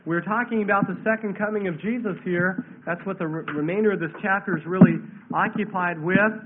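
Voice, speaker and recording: Through a thin, narrow radio-like band comes a male preacher with a notably high voice. He sounds congested with a head cold. He expounds scripture verse by verse in an emphatic, declamatory way, repeating key phrases. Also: a little hiss or hum. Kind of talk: verse-by-verse Bible sermon.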